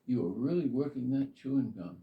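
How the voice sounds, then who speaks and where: An older man with a Boston accent, reminiscing in a life story interview in a halting, meandering way. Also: mid-range voice, expressive. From Boston area, United States. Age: older, 70s or above. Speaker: interviewee, retired army officer. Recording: roomy, distant, slightly muffled.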